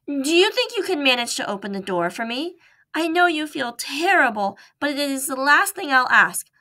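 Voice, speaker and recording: A woman voicing an eager, determined young male mouse in a storybook reading, pleading and excited. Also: high voice, moderate pace, voice pushed up, full character voice.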